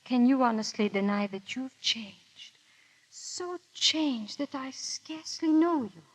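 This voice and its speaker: An actress playing a proud, unhappy aristocratic wife with refined, emotive delivery in 1930s radio style. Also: female voice, high-pitched, expressive, a little slow. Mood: hurt, wistful. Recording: vintage 1930s radio broadcast.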